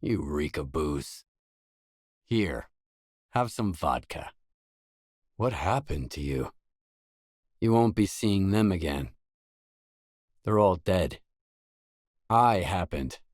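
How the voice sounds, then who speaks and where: An actor playing a weary, laconic stalker in a video game, a hardened loner with a terse, guarded, deadpan delivery. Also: male voice, low-pitched, no room sound, studio quality, exaggerated voice. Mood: curt, dry. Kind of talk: isolated game dialogue lines.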